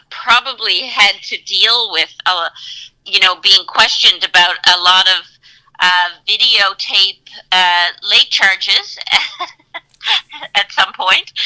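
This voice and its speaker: A woman author answering interview questions in unhurried casual chat, with frequent fillers and amused asides. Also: medium pitch, bright, forceful tone, poor audio. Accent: Newfoundland. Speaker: guest author.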